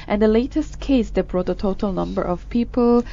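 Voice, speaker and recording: A woman's voice: mid-range voice, vocal fry, warm tone, dull audio. Woman with a Korean accent co-hosting news. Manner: halting, with many fillers and restarts. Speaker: co-host of a news discussion show. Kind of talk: casual news discussion.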